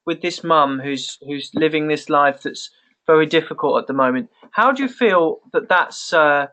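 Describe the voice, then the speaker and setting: A man hosting a casual conference call, talking in a halting way and repeating phrases as he goes. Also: fairly high pitch, bright, forceful tone. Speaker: call host.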